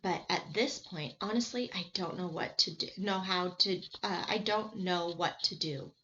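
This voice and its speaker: A woman's voice: on the low side, a little slow, bright, forceful tone, muffled recording, a little hiss or hum. A woman reading an anxious, determined girl adventurer in a storybook. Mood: discouraged.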